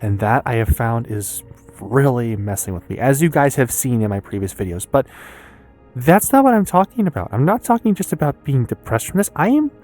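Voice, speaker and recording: A woman's voice: extremely low pitch, sing-song, a little mellow, soft background music. Candid young American woman in a confessional vlog. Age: early 20s. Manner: candid, rambling, swearing, halting with long pauses. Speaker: vlogger and streamer. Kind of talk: confessional personal vlog, casual unscripted talk.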